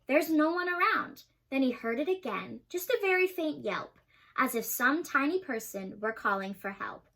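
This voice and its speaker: A woman's voice: fairly high pitch, expressive, normal speed, a bit bright. A young woman reading a children's rhyming storybook aloud in a rhythmic way. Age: young adult. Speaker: reader.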